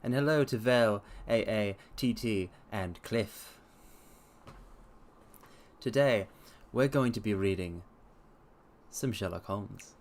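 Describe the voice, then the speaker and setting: A man's voice: lowish voice, takes it slowly, long pauses, darkish tone. A man hosting a livestream and reading aloud in a theatrical manner, with formal, old-fashioned phrasing. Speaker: livestream host and story reader. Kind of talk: livestream chat and dramatic reading.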